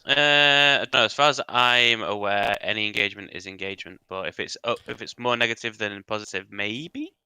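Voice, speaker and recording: A man co-hosting a casual podcast with a very bright, sharp voice. He teases his host with dry banter. The sound is dry and close to the mic. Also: fairly low pitch, moderate pace, few pauses, clean tone, no room sound. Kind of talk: casual chat.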